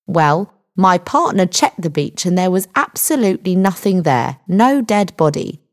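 A woman voicing a male police sergeant in a story, friendly but condescending and dismissive, with measured delivery. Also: lowish voice, very animated. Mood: slow, condescending. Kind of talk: audiobook character dialogue.

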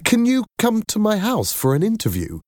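Man voicing an anxious mother, pitch raised. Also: huge pitch swings, normal speed, darkish tone, dry recording, studio quality. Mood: surprised. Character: anxious, easily exasperated mother seeking a nanny.

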